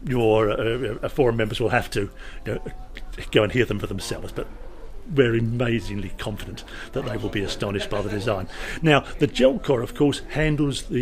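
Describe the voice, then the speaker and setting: A man speaking as a company representative, informally explaining a product at a trade show with emphatic salesman's patter and wry asides. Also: medium pitch.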